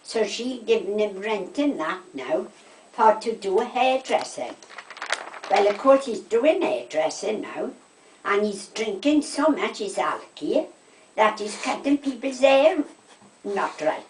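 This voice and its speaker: An older woman with a South Wales Valleys accent, telling gossipy stories in casual, animated chat. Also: mid-range voice, slow pace, slight background noise. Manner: animated anecdotes, quoting conversations, checking with "right?". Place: indoors at home. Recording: echoey room, distant microphone.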